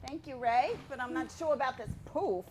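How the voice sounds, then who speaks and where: An actress playing a chatty, excitable housewife in a staged reading of a period comedy play. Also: female voice, high voice, moderate pace, slight background noise, close to an everyday voice. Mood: reluctant, worried.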